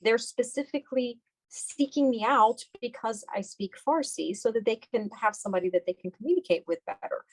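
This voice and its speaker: A woman's voice: a little high, lively intonation, fairly quick, low-quality recording. A middle-aged woman giving conversational, explanatory interview answers as a doctor, often repeating phrases for emphasis.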